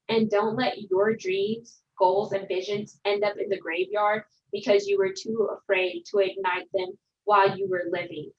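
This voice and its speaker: A woman's voice: medium pitch, lo-fi sound. A woman giving an encouraging motivational talk on a video call. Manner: upbeat, encouraging, rousing. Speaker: motivational speaker.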